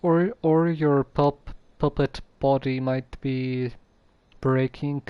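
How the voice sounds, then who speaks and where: Slow, low-key male game streamer. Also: steady tone, smooth, no room sound, dull audio. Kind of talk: casual game-stream commentary, reading dialogue choices aloud.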